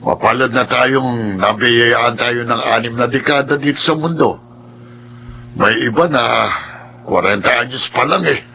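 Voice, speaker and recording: A man's voice: medium pitch, unhurried, bright, forceful tone, a little hiss or hum. An actor with a Filipino accent playing a matter-of-fact man of sixty, resigned to aging, in a radio drama. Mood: grave, resigned.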